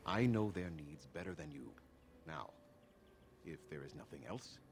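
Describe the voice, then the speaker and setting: Ancient elf clan leader, deep voice, stern, formal, measured, proud, fantasy video game, some background noise. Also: male voice, animated, gravelly, heavily acted.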